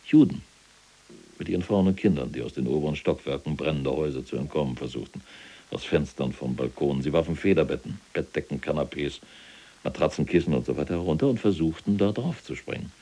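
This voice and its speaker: Very deep, gravelly SS general. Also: male voice, fairly quick, mellow, exaggerated voice. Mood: cold, boastful. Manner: boastful, precise, military enumeration. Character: proud, unrepentant older SS general in prison, recalling his deeds. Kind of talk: German radio play dialogue.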